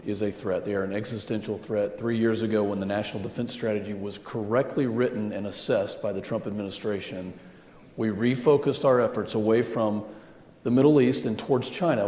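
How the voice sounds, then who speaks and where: Man, low pitch, emphatic panel answer, long hall reverb. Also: fairly even intonation, fairly quick, a little mellow. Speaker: congressman panelist.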